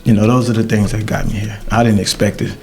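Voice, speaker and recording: A middle-aged man hosting a podcast, talking in a halting, reflective way with many ums and uhs. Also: lowish voice, little pitch movement, gravelly, a little mellow, soft background music. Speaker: podcast host. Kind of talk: casual podcast chat.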